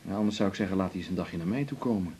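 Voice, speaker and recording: A man's voice: low voice, rapid-fire, darkish tone. An actor playing a helpful, dryly teasing man in a naturalistic radio drama. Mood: helpful, easygoing. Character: helpful, dryly teasing male companion of a doctor. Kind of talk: naturalistic 1980s Dutch radio drama acting. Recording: noisy 1980s radio recording.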